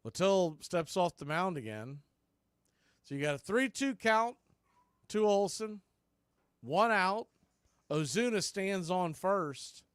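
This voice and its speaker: A man calling baseball play-by-play as a radio announcer, in clipped, folksy phrases that range from quiet to excited. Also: high-pitched, takes it slowly, pauses often, clear, resonant tone, no echo, clean studio sound. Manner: swings from hushed calls to excited shouts. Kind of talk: live radio play-by-play commentary.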